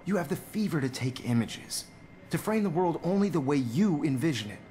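A man with an American accent playing a charming, encouraging photography teacher who gently lectures in a video game. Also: fairly high pitch, expressive, brisk, slight background noise, lightly acted. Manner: smooth-talking, gently lecturing.

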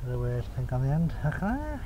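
A man's voice: huge pitch swings, moderate pace, highs cut off. A man in his forties with a Liverpool accent, talking casually to the camera as he magnet fishes on a canal towpath, often muttering to himself. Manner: muttering low and offhand to himself. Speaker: magnet fishing vlogger. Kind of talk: casual running commentary. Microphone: handheld camera or chest camera. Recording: outdoor wind and background noise.

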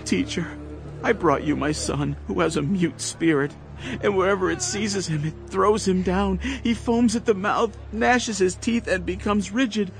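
Desperate father, high-pitched, anguished, pleading, biblical audio drama, soft music behind. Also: measured pace, gravelly. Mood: distraught. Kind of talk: dramatized audio Bible acting. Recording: music under the voice.

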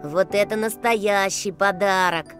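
A woman's voice: mid-range voice, steady tone, measured pace, fairly bright tone, soft background music, natural voice. A woman voicing a cheerful young girl who reads out viewers' letters in a children's cartoon. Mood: eager.

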